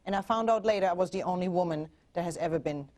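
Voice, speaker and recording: A woman's voice: fairly low pitch, fast talker, darkish tone. German-accented woman being interviewed. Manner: earnest, with frequent restarts and fillers. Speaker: guest relationship coach.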